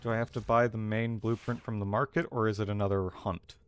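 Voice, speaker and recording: A man's voice: fairly low pitch, little pitch movement, a little fast, slight background noise. A man giving casual, playful gameplay commentary as a streamer. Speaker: game streamer.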